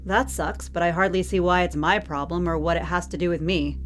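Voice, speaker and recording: A woman's voice: lowish voice, steady tone, brisk, faint music behind, lightly acted. A woman acting in an audio drama as a hard-edged, unflappable head of security who speaks in pointed, sardonic questions. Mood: curt, defiant.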